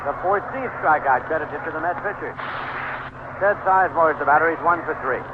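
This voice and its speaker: An American man calling a baseball game live on the radio, in measured, clipped play calls with pauses. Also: on the high side, narrow, tinny audio. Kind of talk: live radio sports commentary. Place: stadium broadcast booth. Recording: noisy band-limited 1970s AM radio broadcast.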